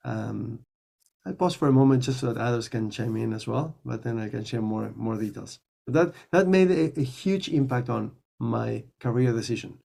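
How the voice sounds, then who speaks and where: A man's voice: mid-range voice, vocal fry, warm tone. A man in his forties, a mentor on a panel, telling his career story in a chatty way with restarts. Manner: chatty, repeats and restarts, quotes his own thoughts.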